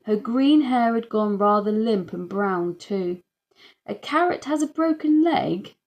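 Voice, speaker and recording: A woman's voice: mid-range voice, creaky, darkish tone. A woman reading a children's audiobook as narrator, telling the story in the first person. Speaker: audiobook reader.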